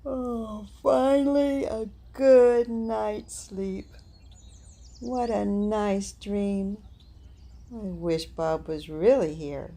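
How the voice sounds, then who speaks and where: An actress playing Bee, a vengeful woman who stings rude people, in a radio drama with a slow, measured delivery. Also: medium pitch, steady tone, dark tone. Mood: wistful.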